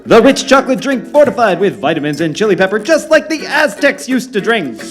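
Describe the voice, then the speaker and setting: A man playing a hearty, wholesome scoutmaster and pitchman in the style of a retro radio serial. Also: very animated, fairly quick, fairly bright tone, soft background music. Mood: hearty sales pitch.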